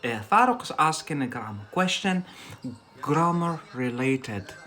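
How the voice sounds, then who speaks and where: A man's voice: on the high side, very animated, unhurried, bright, forceful tone, soft background music. A young man from Algeria, whose first language is Algerian Arabic, hosts an English-learning live stream in a casual, rambling way with many fillers and frequent laughs.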